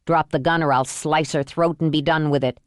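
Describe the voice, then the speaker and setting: A woman's voice: low-pitched, steady tone, a little fast, clean tone, dry recording, studio quality, pitched below the performer's own voice, natural voice. A woman voicing a vicious, cornered male killer in an audiobook thriller, curt and threatening. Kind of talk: audiobook thriller character acting.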